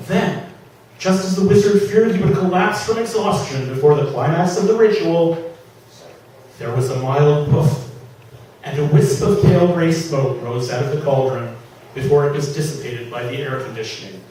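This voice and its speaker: A man with a slightly high voice reads comic fantasy aloud as its author. His delivery is theatrical and emphatic. It is recorded from far off in a very echoey room, with faint noise behind. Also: normal speed, dark tone. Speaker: author reading from own novel. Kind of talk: live dramatic reading of comic fantasy fiction. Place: public reading at an indoor venue.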